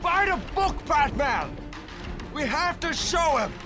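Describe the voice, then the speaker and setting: A man's voice: extremely high pitch, sing-song, slow, full character voice. A man playing a desperate police commissioner in a radio drama, pleading in a strained voice. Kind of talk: amateur radio drama acting. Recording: amateur radio drama with music behind.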